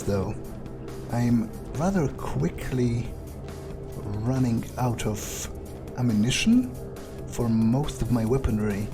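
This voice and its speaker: A man as weary, foul-mouthed vampire over music. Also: medium pitch. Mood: grumbling, musing. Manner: halting, musing, casually profane. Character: weary, foul-mouthed male vampire muttering his thoughts. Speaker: gaming video creator playing in character. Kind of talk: in-character game playthrough monologue, thinking aloud.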